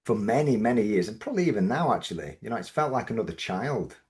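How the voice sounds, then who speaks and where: Yorkshire man, middle-aged, deep voice, measured reflective guest. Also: sing-song, darkish tone. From Yorkshire, England. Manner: thoughtful, measured, with frequent restarts. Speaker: business owner guest. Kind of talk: reflective podcast interview.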